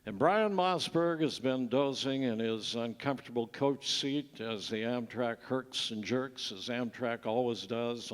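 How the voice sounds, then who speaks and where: A man's voice: mid-range voice, clean tone. A man narrating a scripted radio play as announcer before a live stage show. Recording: live hall reverb.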